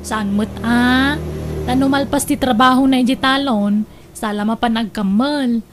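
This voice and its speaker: Woman acting contented young Ilocano wife, high pitch, upbeat, reassuring, radio drama, faint noise. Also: steady tone, unhurried, close to an everyday voice. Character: cheerful, contented married daughter of a farmer's household.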